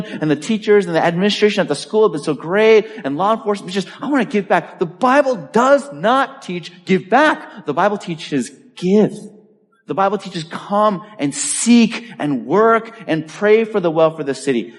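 A man's voice: high voice, big-room sound. A man pastor preaching a sermon, driving points home with emphatic repetition and telling a personal story. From Boston area, US. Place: church.

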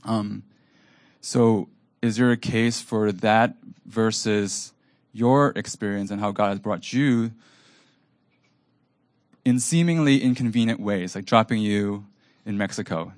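A man whose first language is Cantonese, moderating a panel and asking a long, searching question with many pauses and ums. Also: fairly low pitch, fairly even intonation, a little mellow, dry recording, studio quality. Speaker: event moderator.

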